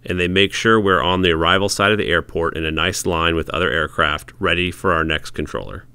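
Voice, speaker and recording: Low, bright-voiced male narrator. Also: fairly quick, clean tone. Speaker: narrator explaining air traffic control. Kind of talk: explanatory vlog voice-over.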